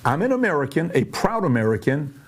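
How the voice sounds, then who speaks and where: Animated man hosting a commentary show. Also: on the high side, fairly quick, slightly rough. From Iran. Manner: questioning, emphatic, animated. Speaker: show host. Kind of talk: explainer commentary walking through charts.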